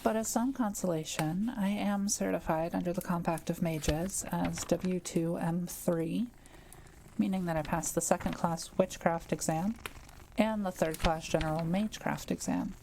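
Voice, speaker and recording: Woman as a gentle witch, mellow voice, careful explainer, reassuring, intimate audio roleplay, some background hiss. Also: on the low side, steady tone, normal speed, gravelly, low-quality recording. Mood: modest, reassuring. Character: kind, quiet-living adult witch, patient and reassuring.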